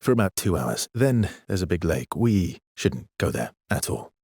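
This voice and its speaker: An actor playing a weary, gentle, guilt-ridden expedition guide in a dramatic video game, with a husky, breathy, hushed and halting delivery. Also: male voice, low-pitched, big pitch swings, no room sound, clean studio sound. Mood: calm, explaining. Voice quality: husky, breathy, often near a whisper.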